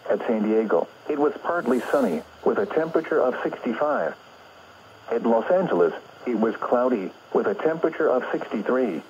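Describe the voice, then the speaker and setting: A synthetic male announcer with an American accent reads a scripted weather bulletin over the radio in formal, impersonal, measured phrasing. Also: mid-range voice, big pitch swings, creaky, darkish tone. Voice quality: synthetic text-to-speech voice. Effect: radio broadcast. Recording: dull lo-fi radio reception, noisy.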